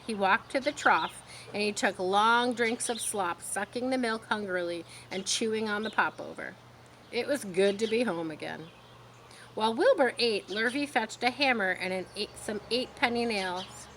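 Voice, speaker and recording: Animated, high-voiced woman reading a storybook. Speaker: storybook reader. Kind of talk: animated children's story narration with informal asides. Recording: faint noise with birdsong behind. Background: birdsong.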